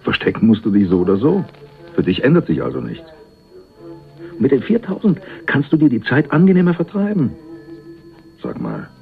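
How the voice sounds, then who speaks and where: An actor playing a cocky, laid-back small-time crook in a radio crime drama, talking in a casual, slangy, sly way. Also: male voice, medium pitch, wildly swooping pitch, normal speed, gravelly, pauses often, phone-quality sound, faint music behind. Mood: sly, persuasive. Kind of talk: 1980s German radio crime drama acting.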